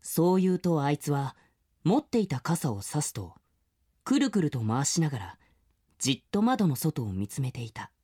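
Earnest, husky-voiced schoolboy. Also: female voice, low voice, sing-song, normal speed, mellow, exaggerated voice. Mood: reflective narrating. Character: earnest, skeptical middle-school boy, also narrating his story. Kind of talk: audio drama acting with first-person narration.

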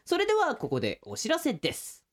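A man's voice: very high voice, wildly swooping pitch, a little fast. Playful guy co-hosting radio, giggly, voice goes all over the place. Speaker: radio show co-host. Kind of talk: casual radio banter and announcements.

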